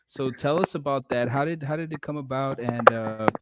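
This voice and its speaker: A man's voice: medium pitch, moderate pace, noisy background. A man hosting a podcast in a casual, informal way, feeling his way through loosely phrased remarks and questions. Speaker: podcast host. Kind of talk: casual interview chat.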